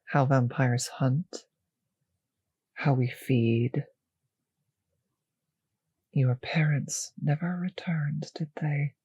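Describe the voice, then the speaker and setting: A woman playing a possessive, teasing vampire mistress in an intimate roleplay audio drama, speaking slowly and condescendingly with a breathy voice that often sinks to a whisper. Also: low-pitched, steady tone, warm tone, dry recording, highs cut off, full character voice. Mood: menacing, hushed.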